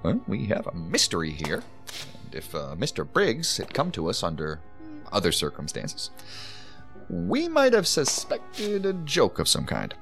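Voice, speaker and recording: An actor playing a flustered, talkative hospital doctor, hesitant and stammering, eager to please, in an audio drama. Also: male voice, on the low side, huge pitch swings, measured pace, vocal fry, a bit bright, faint music behind, full character voice. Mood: puzzled, animated.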